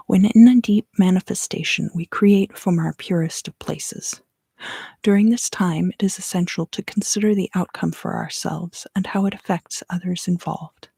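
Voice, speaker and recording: A woman giving an ASMR card reading for a live stream in a soft, unhurried, often fully whispered voice, close to the microphone. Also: lowish voice, gravelly, husky, no room sound. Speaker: ASMR tarot reader and live stream host.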